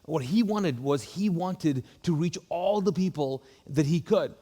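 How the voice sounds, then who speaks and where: A fairly high-voiced man speaks as a pastor in an easy, chatty way and often backtracks and restarts his thoughts. The sound carries a long hall reverb. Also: expressive, measured pace, dark tone. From Kuwait. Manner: conversational, many fillers and restarts. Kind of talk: casual church welcome talk.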